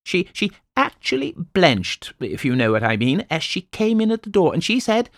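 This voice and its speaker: A man voicing a fretful, self-pitying wife who plays the invalid, in a shrill, plaintive, theatrical way. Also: big pitch swings, normal speed, fairly bright tone, no room sound, studio quality.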